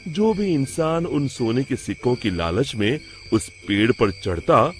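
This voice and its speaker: A man's voice: medium pitch, animated, a little slow, soft background music. A man narrating a scripted moral story for a cartoon as storyteller.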